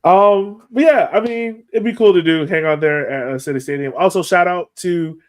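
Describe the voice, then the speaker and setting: A man hosting a sports podcast in casual chat, animated and often repeating phrases for emphasis. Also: high-pitched, moderate pace. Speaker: podcast host.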